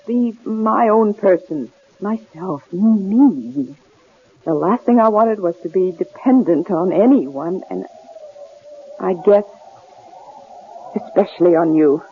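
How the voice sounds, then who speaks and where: A woman's voice: mid-range voice, expressive, phone-quality sound. A woman playing an anxious, introspective wife in an old-time radio drama, speaking in a hesitant, earnest way. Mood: halting confession. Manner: hesitant, earnest, halting delivery. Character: anxious, introspective young wife held captive, wanting independence. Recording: hissy, band-limited old radio drama recording.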